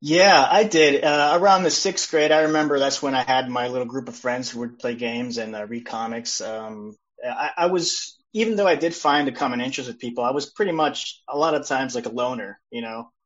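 A man, born in El Salvador and raised in California, answering interview questions in an easygoing, modest way with many pauses. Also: medium pitch. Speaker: guest illustrator and publisher. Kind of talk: casual interview chat. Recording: dull lo-fi sound.

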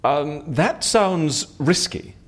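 An actor playing an eccentric, chatty British gentleman fixer in an audio drama, effusively polite and easily flustered. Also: a little high, lively intonation, drawn-out words, full character voice. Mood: nervous, awkward.

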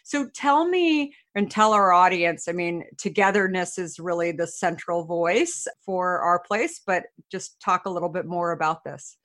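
A woman's voice: lowish voice, measured pace, few pauses, a bit bright. Chatty woman podcast host. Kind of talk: casual interview conversation.

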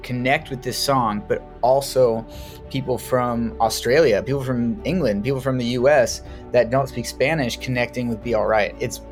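A man's voice: mid-range voice, fairly even intonation, moderate pace, faint music behind. A young American man, a singer-songwriter guest, sharing his story in a conversational way with frequent restarts. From United States. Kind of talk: casual personal account.

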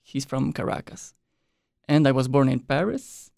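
Young male guest, raised in Mexico, relaxed talker with heavy vocal fry, dry studio sound. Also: medium pitch, a little slow, dry recording. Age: young adult. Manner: relaxed, loose with frequent "like" and quiet trailing ends. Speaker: exchange student guest. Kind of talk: casual interview chat. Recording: dry close studio mic.

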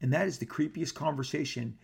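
Man, gravelly husky voice, casual rambling talk, stops and restarts a lot. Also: a little high, fairly quick. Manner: rambling, with many restarts and fillers. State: gravelly, clearing his throat. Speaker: comic book artist.

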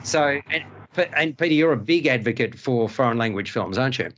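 A man's voice: medium pitch, a little fast, highs cut off, slight background noise. A male podcast host and film critic, chatting casually and reviewing films with many restarts. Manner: stammering restarts, animated.

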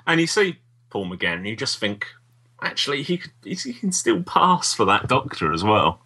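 A man's voice: medium pitch, sing-song, moderate pace, bright, forceful tone, husky. A British man co-hosting a podcast in casual, conversational chat. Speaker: podcast co-host.

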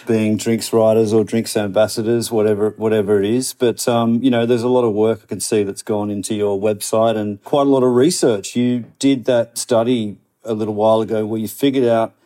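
A man hosting a drinks podcast, presenting his show smoothly and asking interview questions. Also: lowish voice, steady tone, a little fast, hardly any pauses, mellow, dry recording. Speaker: podcast host.

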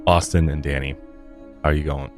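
A man's voice: a bass voice, steady tone, fairly quick, faint music behind. A man with an American accent hosting a podcast, chatting casually to open the show. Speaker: podcast host. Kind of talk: casual podcast intro and chat. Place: podcast studio.

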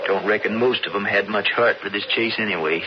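Casting: male actor for young cowboy, humble and folksy, low voice; radio western with dull, noisy sound. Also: fairly quick, close to an everyday voice. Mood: relieved, earnest. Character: grateful, plain-spoken young cowboy in a western.

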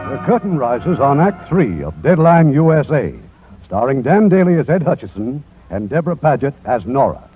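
A man's voice: medium pitch, big pitch swings, normal speed, phone-quality sound, faint music behind. A man narrating a 1950s radio drama in a formal, theatrical scripted style, on a thin vintage recording.

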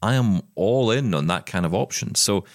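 A middle-aged man with a low voice that swings up and down widely as he presses his points in a fired-up rant. He sounds close and dry on the microphone, as in casual podcast chat. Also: animated, normal speed, gravelly, no room sound. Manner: impassioned, ranting, emphatic. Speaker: podcast co-host.

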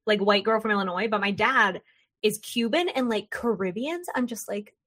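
A woman hosting an interview, chatting in an animated, jokey way and acting out little quoted voices as she talks. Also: medium pitch, normal speed. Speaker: podcast host. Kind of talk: casual interview chat.

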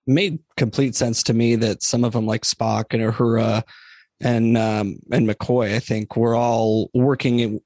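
A man with an average-pitched voice talks as a guest in a casual podcast discussion. He thinks aloud and hedges as he goes. The recording is dry and free of room sound. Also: level tone, measured pace, a little mellow, no room sound.

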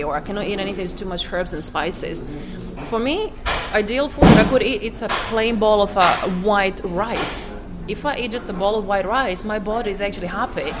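A woman's voice: mid-range voice, noisy background. A woman from Croatia speaking in a casual interview, with lots of fillers and restarts. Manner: halting, many fillers and restarts. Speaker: interviewee, cafe business owner.

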